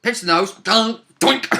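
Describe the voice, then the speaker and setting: Bright-voiced man chatting about a game. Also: sits high. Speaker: tabletop role-playing game player. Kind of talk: casual chat recapping a game session.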